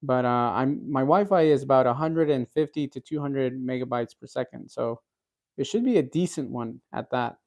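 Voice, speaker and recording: A man's voice: mid-range voice, normal speed, gravelly, darkish tone. A man in his thirties hosting a cooking livestream, chatting casually with viewers and reading out their comments, rambling with lots of fillers and restarts. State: recovering from a cold.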